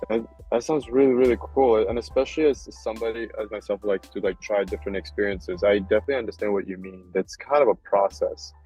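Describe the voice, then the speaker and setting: Upbeat host from South Africa, lowish voice. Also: male voice, fast talker, few pauses, mellow, husky, faint music behind, poor audio. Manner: upbeat, repeats "really cool, man". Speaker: meetup host. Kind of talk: casual online meetup hosting.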